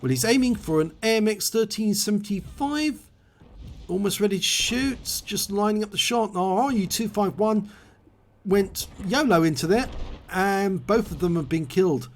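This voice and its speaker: A man with a hoarse voice after a cold, calmly explaining and calling the play in a video game replay. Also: high-pitched, normal speed, a little hiss or hum.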